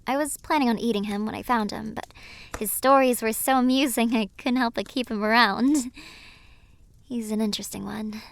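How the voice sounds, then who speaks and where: A woman playing a gentle, mysterious siren in an audio roleplay, guarded and wistful, speaking softly and with measured care, often slipping into a breathy whisper. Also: on the high side, fairly even intonation. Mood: wry, gentle. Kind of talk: cinematic audio roleplay acting.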